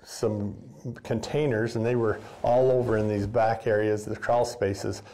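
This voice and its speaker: An older man walks and talks through a boiler room, rambling in a relaxed, folksy way. He fills his talk with "uh" and "um" and restarts his sentences often, like a former custodian sharing memories on a casual tour. The sound is picked up in a basement by a camera that follows him. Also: on the low side, measured pace. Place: basement boiler room of an old school building.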